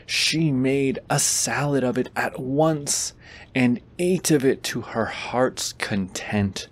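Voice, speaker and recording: A man with a mid-pitched voice plays a boyfriend reading a bedtime story. He takes his time, slipping between measured storytelling and goofy, teasing asides. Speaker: boyfriend character reading aloud.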